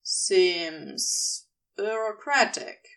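A laid-back husband in an audio story, played by a woman, speaks in a lowered register. He drawls slowly and dryly, with a teasing, sceptical edge. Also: lively intonation, gravelly, fairly bright tone, distant mic, exaggerated voice.

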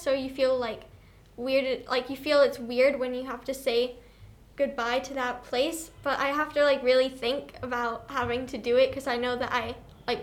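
A teenage girl from Australia, a student guest, talking hesitantly with many fillers. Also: sits high.

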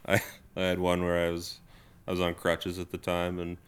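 A man with a very deep voice on a casual podcast among friends. He tells stories in a relaxed, dry-humored way. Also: normal speed, clear, resonant tone. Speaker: podcast co-host and editor. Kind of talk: casual chat.

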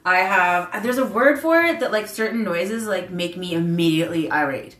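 Woman chatting on a podcast, gets loud n animated, roomy echo. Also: lowish voice, moderate pace. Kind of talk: casual chat. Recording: echoey room.

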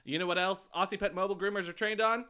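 A man's voice: sits high, steady tone, fast talker, a crowd in the background. A man co-hosting a podcast, reading an ad and teasing his partner in casual chat. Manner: playful, teasing. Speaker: podcast co-host.

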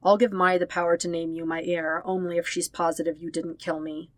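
A woman's voice: fairly low pitch, little pitch movement, a little fast, no room sound, natural voice. A woman voicing a weary, guarded teenage prince, a protective older brother, in a fan fiction audiobook. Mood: guarded, cold.

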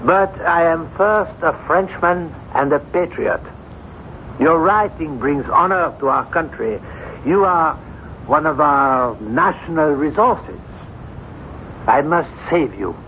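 A man's voice: animated, slow, sharp tone, full character voice. A man playing a skeptical, dutiful doctor in a 1970s radio drama, speaking in a blunt, exasperated and emphatic way. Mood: blunt, earnest. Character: stern, skeptical male French doctor, dutiful and patriotic. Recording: dull 1970s radio broadcast, faint rumbling noise.

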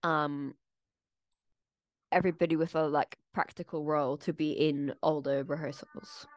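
Low-voiced woman co-hosting a chat. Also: fairly even intonation, moderate pace, no echo. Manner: thoughtful, explains plans at length. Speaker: podcast co-host, theatre maker. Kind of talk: casual chat.

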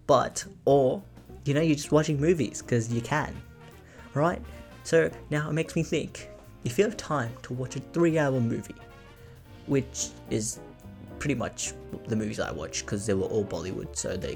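A man's voice: mid-range voice, expressive, normal speed, creaky, a little mellow, faint music behind. A young man hosting his own podcast, talking in a rambling, chatty, self-mocking way, often asking "right?" and "you know?". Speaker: podcast host. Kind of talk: casual solo podcast monologue.

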